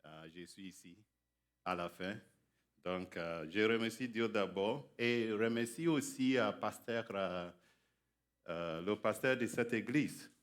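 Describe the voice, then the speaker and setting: Slow, low-voiced preacher. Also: male voice. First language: English. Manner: halting, careful beginner's French. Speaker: guest preacher. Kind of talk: sermon introduction. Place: church sanctuary.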